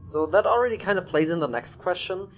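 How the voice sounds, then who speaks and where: A man's voice: high voice, lively intonation, quick pace. A man with a German accent conducting a casual podcast interview as the host. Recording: dull audio with faint background noise.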